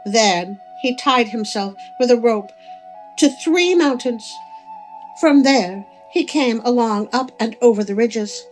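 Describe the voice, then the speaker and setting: A woman's voice: on the high side, slow pace, rough recording. Woman storyteller over background music. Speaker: storyteller, host of a story channel. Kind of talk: expressive storytelling narration. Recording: music under the voice.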